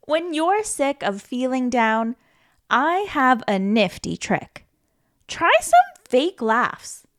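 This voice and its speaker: A woman reading a children's picture book aloud in a gentle, playful, reassuring way, sometimes giggling. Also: high voice, very animated, takes it slowly. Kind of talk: read-aloud storytime.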